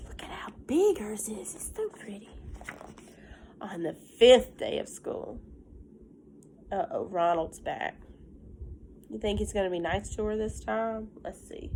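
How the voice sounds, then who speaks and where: A woman teacher reading a picture book aloud to young children, with simple, encouraging asides and stressed, drawn-out storytelling phrases. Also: on the high side, creaky, slight background noise, low-quality recording.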